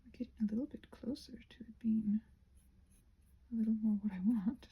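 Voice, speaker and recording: A soft-spoken woman with a breathy voice that often nears a whisper, rambling casually and self-deprecatingly to herself as she crafts. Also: a little high, steady tone, normal speed, dull audio. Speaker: craft and ASMR video creator.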